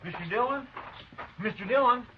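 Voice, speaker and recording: An American actor playing an earnest, excitable frontier deputy in a radio western, anxious and folksy in manner. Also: male voice, very high-pitched, normal speed. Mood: alarmed. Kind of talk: old-time radio western acting. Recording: muffled, faintly noisy old radio recording.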